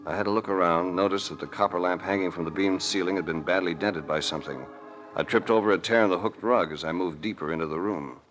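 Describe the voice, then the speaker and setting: Casting: deep, forceful American hero-narrator, hard-boiled and sardonic, vintage radio sound with light hiss. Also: male voice, fast talker, faint music behind. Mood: grim narration. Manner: deadpan, sardonic, dry understatement. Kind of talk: 1940s radio detective acting and narration.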